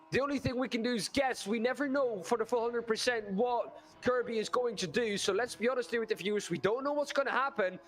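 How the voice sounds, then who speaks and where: A man's voice: high-pitched, a bit bright, a little hiss or hum. A man doing live commentary on a sim race, chatty and putting questions to his co-commentator.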